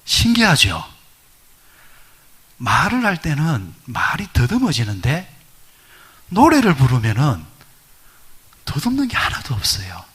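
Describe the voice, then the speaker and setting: Man, middle-aged, pastor, conversational sermon, husky, lively intonation. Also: a little high, measured pace, pauses often. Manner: conversational, asks the congregation questions, many fillers. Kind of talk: sermon at midweek prayer service.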